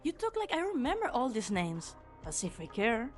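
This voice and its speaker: A woman's voice: a little high, wildly swooping pitch, moderate pace, faint music behind. A woman playing a brash, cocky, menacing young female mercenary in an amateur game fan dub, with a sardonic, teasing manner. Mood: sardonic, casual. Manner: sardonic, foul-mouthed, teasing.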